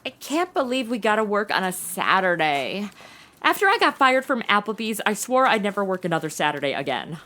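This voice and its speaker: A woman's voice: mid-range voice, sing-song, brisk, bright, forceful tone. An American woman playing a sarcastic, slangy twenty-something detective sidekick in a comedy audio drama, flippant and full of complaints. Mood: complaining. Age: 20s.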